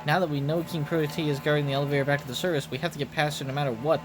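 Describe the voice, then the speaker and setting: Game party leader, male reader: resolute, grand, orderly delivery, somewhat high male pitch, music clearly underneath. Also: fairly even intonation, rapid-fire, close to an everyday voice. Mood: determined. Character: resolute escape planner, grandly determined and vengeful.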